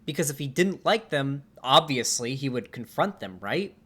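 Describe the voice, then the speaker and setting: A man's voice: mid-range voice, normal speed, breathy edge. A man in his twenties streaming live, talking casually and reacting with exasperated outbursts and swearing as he comments on memes. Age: 20s. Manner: swearing, animated and exasperated, with laughs.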